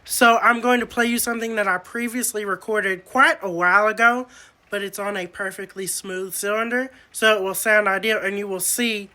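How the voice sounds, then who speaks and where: A man's voice: sits high, fairly even intonation, normal speed, sharp tone. A man presenting an informal, hands-on demonstration of antique recording equipment, explaining each step as he goes.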